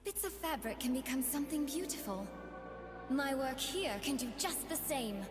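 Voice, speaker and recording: A very high, sweet young female voice with music underneath. She is a living doll seamstress from a video game, sincere and hopeful, speaking each line with earnest determination. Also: animated, moderate pace, long reverb tail. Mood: tender wonder. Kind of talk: video game character voice lines. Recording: game clip with music behind.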